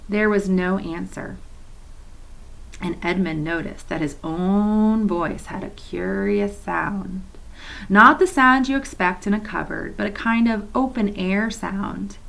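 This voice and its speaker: A woman reads a children's novel aloud in a gravelly, mid-pitched voice. She stops now and then for gentle, chatty asides to her young listeners. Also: takes it slowly, poor audio. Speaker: children's story reader at a church.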